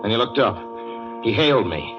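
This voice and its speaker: An actor playing a haunted, increasingly frantic motorist telling his eerie tale in a measured, dramatic 1940s radio suspense style. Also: fairly low pitch, sing-song. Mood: tense, insistent. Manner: measured, dramatic, brooding delivery. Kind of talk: 1940s radio drama acting, first-person suspense narration. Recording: muffled old radio recording with loud music.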